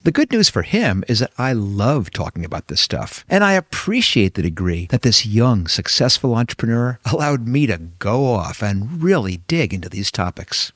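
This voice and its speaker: Lively, animated male podcast host. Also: medium pitch, moderate pace, few pauses, no echo, clean studio sound. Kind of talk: podcast interview, scripted intro then chat.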